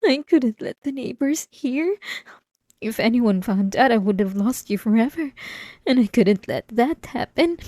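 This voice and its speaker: An obsessive, delusional wife, acted by a woman in an intimate audio roleplay. Her voice is high and soft-edged, and she speaks slowly and haltingly, full of tearful remorse and possessive love. It is very close and dry on the microphone. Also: lively intonation, no room sound. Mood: panicked confession.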